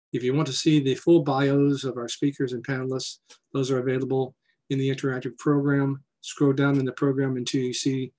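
A man's voice: mid-range voice, a little mellow. A man in his sixties opens a virtual symposium from a room at home, speaking through a video call. He is loose and jokey, with a few fillers as he reads out sponsors and introduces a guest. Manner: loose, joking, with filler words. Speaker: society president hosting a symposium. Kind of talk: casual conference welcome and introduction.

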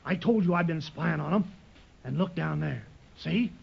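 Gravelly, scheming villainous sailor. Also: male voice, on the high side, normal speed, full character voice. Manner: gruff, salty uneducated seaman's talk. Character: rough, bossy villainous sailor, scheming and short-tempered. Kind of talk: old-time radio adventure acting. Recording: dull lo-fi old radio recording.

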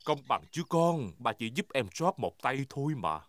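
A man's voice: medium pitch, sing-song, normal speed, natural voice. A man voicing an easygoing, self-assured, jolly father pig in a preschool cartoon. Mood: reassuring. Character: jolly, confident daddy pig, middle-sized adult male. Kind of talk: Vietnamese-dubbed preschool cartoon acting. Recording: loud music behind the voice.

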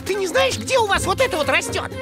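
Super high squeaky bunny boy, all hyped up, music behind. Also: very animated. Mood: eager. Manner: eager, impulsive, fast-talking. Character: hyper excitable young male rabbit. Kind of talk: cartoon voice acting. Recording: cartoon soundtrack with music.

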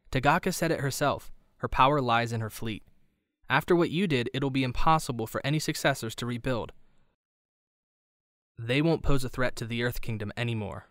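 A man playing a confident, wry teenage boy hero in an animated drama, speaking with an assured manner. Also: medium pitch, dry recording, studio quality, lightly acted.

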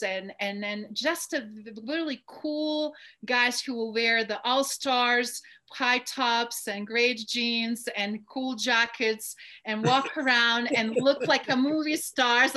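A middle-aged woman with a Croatian accent speaks as a show host on a video call. Her voice sits fairly high and is strikingly bright. She is effusive and enthusiastic, chatting conversationally with her guest. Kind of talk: conversational online interview. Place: indoor room, on a video call.

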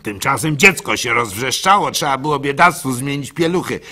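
An actor playing a gullible, soft-hearted village baker who tells his story in a halting, plaintive way for a radio play. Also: medium pitch, animated, exaggerated voice. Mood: indignant, recounting. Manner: halting, stammering, plaintive, swinging between hushed and loud. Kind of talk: dramatic first-person storytelling for a radio play.

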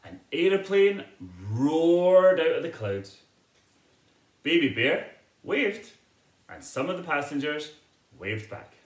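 A middle-aged man tells a children's story in an expressive, swooping voice. He takes his time over each phrase. The sound is roomy, as if recorded in a living room a little way from the mic. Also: on the high side, slow pace, pauses often. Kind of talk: children's picture-book read-aloud. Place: living room at home, indoors.

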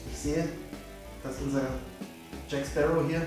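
A man's voice: a little high, flat delivery, unhurried, far from the mic, music in the background. Jokey young man hosting in an echoey room. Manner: jokey, playful. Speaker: comedy channel host. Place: living room with a couch.

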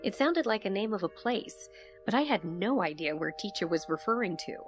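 A woman acting as an investigator who narrates a mysterious case in a measured first-person audio drama. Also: medium pitch, expressive, faint music behind, natural voice. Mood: pensive, intent.

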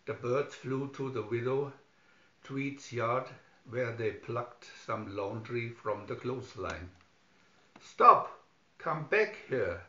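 A man's voice: medium pitch, fairly even intonation, slow. A man narrating a scripted children's story as a storybook reader. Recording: dull lo-fi recording, roomy echo.

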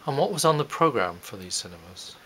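A man's voice: medium pitch, big pitch swings, fairly quick, sharp tone, breathy edge. A man asking questions as an interviewer.

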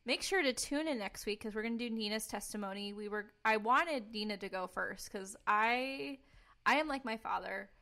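This is a young woman with a fairly high, forceful voice that carries. She is a talkative podcast co-host who speaks casually and personally, stressing her points. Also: moderate pace. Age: young adult. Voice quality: commanding voice. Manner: talkative, informal, emphatic. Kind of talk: casual personal testimony and chat.

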